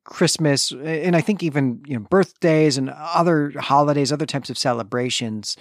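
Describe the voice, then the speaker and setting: A man's voice: medium pitch, no echo. Man recapping fiction, close dry sound. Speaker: podcast host. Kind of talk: conversational literary recap and discussion.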